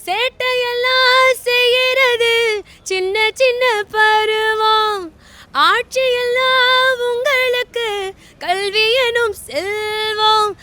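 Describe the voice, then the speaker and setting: A woman's voice: extremely high pitch, fairly even intonation, very slow, pushed and bright, slight background noise. A woman singer performing Tamil film songs as a guest out in a public place. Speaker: singer, guest on a prank call show. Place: outdoors in a public street.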